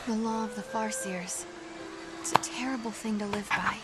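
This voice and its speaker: An actress playing an earnest young time traveler in a game cutscene, troubled but hopeful. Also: female voice, mid-range voice, steady tone, moderate pace, heavy background noise, close to an everyday voice. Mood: troubled, pleading. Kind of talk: dramatic game cutscene voice acting. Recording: loud music under the voice.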